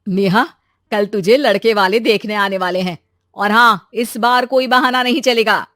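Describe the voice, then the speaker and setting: Woman acting a loud, nagging mother. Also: medium pitch, moderate pace, no room sound, studio quality, close to an everyday voice. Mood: bossy. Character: brisk, scolding middle-aged mother of a grown daughter.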